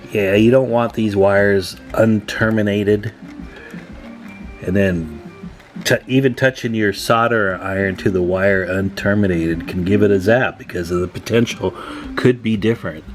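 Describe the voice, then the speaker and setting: A man's voice: fairly low pitch, a little slow, soft background music. A man giving a casual, folksy electronics repair tutorial, joking and thinking aloud as he works. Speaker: hobbyist radio repair demonstrator, channel host. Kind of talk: casual hands-on tutorial talk to viewers.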